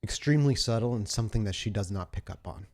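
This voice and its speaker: A man's voice: lowish voice, fast talker, a little mellow. A man improvising narration as the storyteller of a tabletop role-playing game.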